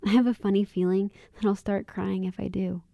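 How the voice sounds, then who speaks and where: A woman's voice: medium pitch, little pitch movement, a little fast, gravelly, warm tone, dry recording, lightly acted. A woman playing a kind, earnest and plain-spoken young woman in a radio drama. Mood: wistful. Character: kind, candid young woman with a wistful side.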